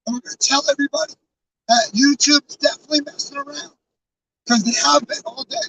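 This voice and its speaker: A hoarse man with a fairly high voice talks earnestly to his live chat, rambling with plenty of fillers and restarts. He sits back from the microphone, and faint background noise hums under his words. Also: quick pace, bright, forceful tone, distant mic, poor audio. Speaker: live streamer with a truck convoy. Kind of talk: casual live-stream talk to chat.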